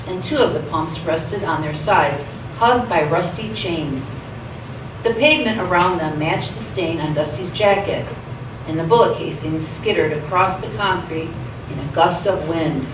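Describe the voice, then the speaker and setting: A woman's voice: fairly low pitch, unhurried. A woman reading scripted story narration for a radio theatre production. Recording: echoey stage, distant mic, clear noise.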